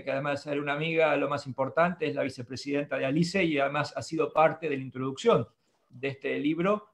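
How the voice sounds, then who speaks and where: A man's voice: mid-range voice, creaky. A middle-aged man moderating an online academic panel over a video call, speaking formally in long, winding sentences. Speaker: webinar moderator. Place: book-lined room indoors.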